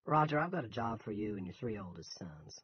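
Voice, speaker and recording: A man voicing a scheming, pious, self-important small-town boss who gives quiet commands in an audiobook. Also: lowish voice, big pitch swings, brisk, husky, lo-fi sound, heavily acted. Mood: commanding.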